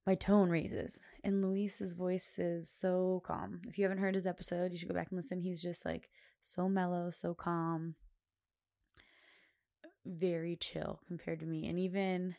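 A woman hosts her own podcast, talking loosely and at length in a loud voice that carries. Her tone sits low, with a gravelly fry, and it sounds dry and close. She rambles through fillers and laughs often. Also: no echo. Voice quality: loud, carrying voice. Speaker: podcast host. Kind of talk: casual chat.

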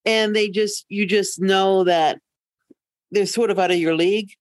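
A woman's voice: mid-range voice, steady tone, a little slow, no room sound. An older woman co-hosting a podcast in casual conversation, candid and anecdotal with a dry joking streak. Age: older, over 50. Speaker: podcast co-host.

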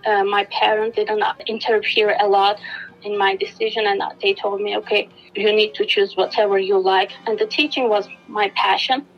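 Turkish woman in an interview, earnest and steady, lots of ums and restarts, kinda dull lo-fi sound. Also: medium pitch, normal speed, soft background music. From Turkey. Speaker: guest, education specialist. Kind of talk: reflective interview answer.

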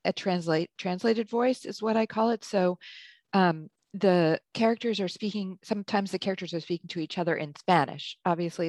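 A woman's voice: fairly low pitch, darkish tone, no room sound. A woman who edits books and coaches writers, speaking in a slightly Hungarian-tinged English, answering thoughtfully with frequent ums and self-corrections. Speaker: book editor and writing coach. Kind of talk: conversational interview answer.

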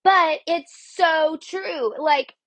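A woman's voice: extremely high pitch, drawn-out words, fairly bright tone, no room sound. A woman with a cold, sounding sniffly, hosting a casual devotional podcast in a chatty way, saying "like" a lot. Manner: chatty, heavy use of "like". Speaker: podcast host.

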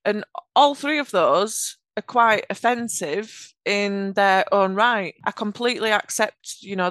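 Yorkshire woman with a smooth, dry voice. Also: medium pitch, a bit bright, no room sound. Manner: earnest, measured explanations with occasional restarts. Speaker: podcast guest, automation architect. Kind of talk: conversational interview answers.

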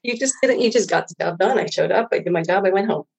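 Female interview guest, middle-aged, husky edge to the voice, loose and reflective conversational storytelling. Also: medium pitch, fast. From Hawaii. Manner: informal, rambling, quotes own thoughts. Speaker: interview guest, former engineer.

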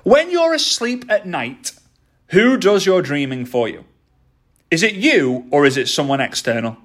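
Energetic motivational man in his thirties. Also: fairly high pitch, unhurried. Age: 30s. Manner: energetic, repeats phrases for emphasis. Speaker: motivational podcast host. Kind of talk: motivational talk.

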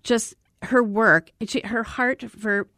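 A woman's voice: fairly high pitch, steady tone, takes it slowly, pushed and bright, dry recording, studio quality. A woman from Arizona co-hosting a radio talk show, bantering and laughing before introducing a guest. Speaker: radio co-host. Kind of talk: casual chat and interview.

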